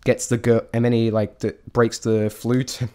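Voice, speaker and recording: A man's voice: medium pitch, flat delivery, normal speed, a little mellow. A man giving a casual, rambling spoken review, often restarting his sentences and stating blunt, informal opinions. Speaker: vlogger reviewing a stage show.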